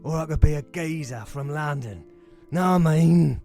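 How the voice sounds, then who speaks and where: Man doing a cheeky showy devil, British regional voice, slightly high, mellow, audio drama, faint music. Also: normal speed, close to an everyday voice.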